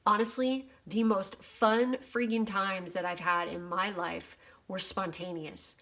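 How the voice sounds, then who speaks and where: A woman with a slightly worn, hoarse voice giving a casual general oracle card reading, swearing freely. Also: medium pitch, steady tone, takes it slowly, fairly bright tone. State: voice going hoarse. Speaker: tarot reader.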